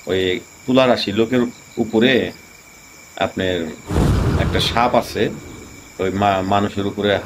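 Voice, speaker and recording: Deep-voiced man telling a ghost story. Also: noisy background. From Tangail, Bangladesh. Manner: rambling, many fillers and restarts. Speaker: channel host. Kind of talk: casual storytelling.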